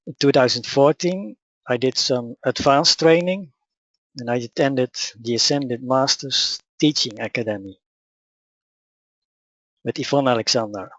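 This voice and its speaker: A man with a Dutch accent, whose first language is Dutch, calmly telling his personal story and teaching in a measured, matter-of-fact way. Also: mid-range voice, no room sound, muffled recording. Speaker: spiritual teacher. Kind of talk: personal account and teaching talk.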